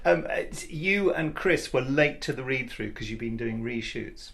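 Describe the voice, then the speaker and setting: A man's voice: mid-range voice, expressive, measured pace, gravelly, fairly bright tone, a little hiss or hum. A man hosting an interview, asking questions in a playful, self-deprecating way. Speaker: interviewer, host. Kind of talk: casual interview. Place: recording studio.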